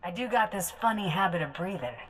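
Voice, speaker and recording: An unflappable female bounty hunter for a video game. Her very low voice is wry and informal, a cocky drawl of folksy phrases with music underneath. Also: lively intonation, a little fast, fairly bright tone, rough recording. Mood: cocky, defiant. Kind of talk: video game dialogue acting.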